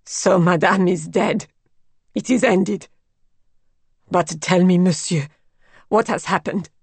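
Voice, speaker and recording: A woman's voice: fairly low pitch, slow pace, creaky, darkish tone, husky, no room sound, full character voice. A woman voicing a shaken maidservant with a harsh, trembling voice and insistent questions, in a story reading. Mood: grim shock. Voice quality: harsh, shaking. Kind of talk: dramatic audiobook reading.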